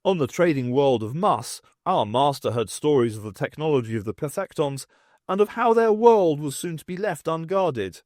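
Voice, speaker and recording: Alien warrior, male reader, sci-fi audiobook: literal-minded, formal, clipped delivery, higher-set voice. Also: lively intonation, normal speed, darkish tone, dry recording, clean studio sound, lightly acted. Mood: solemn. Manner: clipped, formal, declarative speech.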